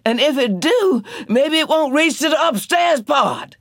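A woman's voice: high voice, expressive, unhurried, no room sound, clean studio sound, voice pushed up. A man voicing a frightened young woman, fleeing a flood and pleading breathlessly.

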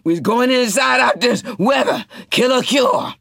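A man's voice: extremely high pitch, a little slow, vocal fry, fairly bright tone, no echo, clean studio sound, voice pushed up, full character voice. A man voicing an urgent young man, commanding others to flee a flood.

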